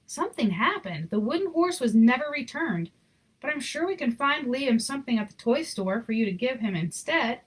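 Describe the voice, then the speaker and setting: A woman reading the part of a gentle, apologetic old grandfather in a children's storybook, speaking softly. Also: high-pitched, big pitch swings, moderate pace, slightly rough, recorded from a distance, full character voice, rough recording. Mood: gentle, regretful. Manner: softened, gentle.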